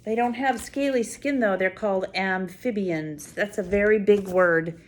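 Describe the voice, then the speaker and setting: An older woman reading a picture book aloud to small children, full of wonder, pausing to explain things simply and add asides. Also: medium pitch. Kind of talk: read-aloud story time with spontaneous asides to a child.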